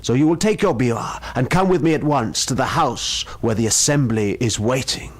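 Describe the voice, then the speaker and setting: A man's voice: mid-range voice, normal speed, voice pushed up, lightly acted. A man voicing a ghostly samurai messenger in a storybook tale, formal and commanding. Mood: formal, reassuring. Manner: loud, formal, commanding calls. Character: ghostly samurai retainer, commanding, menacing deep-voiced stranger.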